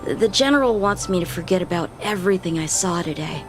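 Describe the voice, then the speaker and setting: A woman's voice: lowish voice, lively intonation, fairly quick, close to an everyday voice. A woman playing an earnest, friendly young swordswoman in a video game, speaking in a straightforward, plain way. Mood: earnest, wistful. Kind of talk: dramatic video game voice acting. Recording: background music over game dialogue.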